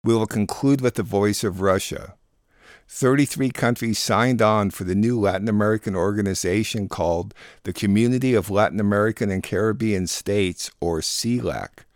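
A man's voice: lowish voice, moderate pace, dry recording, studio quality. A man hosting a radio program, reading scripted announcements and introductions. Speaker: radio program host and producer.

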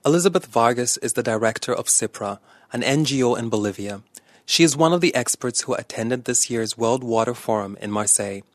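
A man presents a scripted radio feature. His voice has an average male pitch and comes across dry, as in a treated studio. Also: expressive, fairly quick, no echo. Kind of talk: scripted report narration.

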